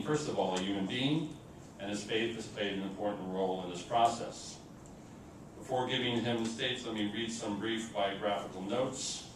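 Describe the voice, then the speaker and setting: A man reading a formal, scripted introduction as moderator of an event, in a measured way. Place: indoor event room. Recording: boomy echoing room, distant mic, noisy rumble.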